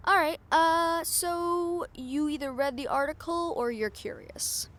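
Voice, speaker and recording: A woman's voice: sits high, measured pace, a bit bright. A woman playing a brash, cynical, foul-mouthed reporter in an improvised audio roleplay, with a blunt, sardonic manner. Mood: teasing, wry.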